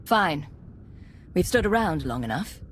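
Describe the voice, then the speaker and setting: An actress playing a stern, decisive secret agent commander in a video game, curt and commanding. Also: female voice, fairly low pitch, sing-song, measured pace, slight background noise. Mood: resolute. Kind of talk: video game dialogue acting.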